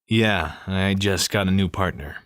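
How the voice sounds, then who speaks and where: A gruff police detective played by a male actor speaks in a lowish male voice. He is guarded and weighed down by grief, in the manner of an audio crime drama. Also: measured pace, fairly bright tone, dry recording, clean studio sound. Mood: businesslike.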